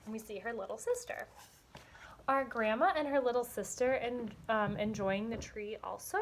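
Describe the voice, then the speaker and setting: A woman's voice: a little high, slight background noise, rough recording. A woman kindergarten teacher leading a read-aloud lesson, explaining and posing questions to young children as she goes. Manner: instructional, asks questions and pauses for answers.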